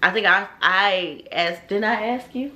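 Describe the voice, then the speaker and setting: Chatty bright-voiced woman. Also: medium pitch, a little slow. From Atlanta, Georgia, US. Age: 30s. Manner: chatty, laughs often, teasing. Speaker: vlog co-host. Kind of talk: casual couple Q&A chat.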